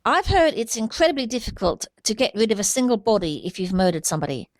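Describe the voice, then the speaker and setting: A woman giving an unscripted opinion monologue in a halting, deliberate, earnest way. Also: fairly high pitch, very animated, dry recording. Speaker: amateur video commentator.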